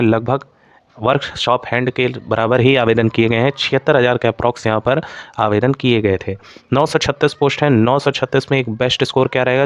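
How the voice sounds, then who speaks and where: A man's voice: mid-range voice, no echo. A man presenting an exam analysis for students, explaining in an instructive way, addressing viewers directly and mixing in English terms.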